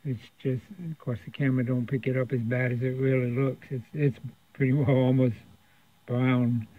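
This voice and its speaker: A man's voice: medium pitch, level tone, moderate pace. Man with thin phone-like sound, rambling casually. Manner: rambling, conversational explaining. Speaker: radio repair technician presenting a repair video. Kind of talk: casual unscripted workbench narration. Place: indoor electronics repair workshop.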